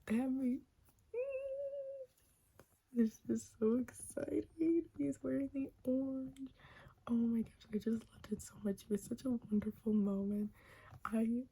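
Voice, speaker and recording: A woman's voice: a little high, steady tone, a little slow, slightly rough, rough recording. A young woman whispering a chatty recap of a wrestling show, gushing like a fan and giggling often. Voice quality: soft whisper, frequent giggles.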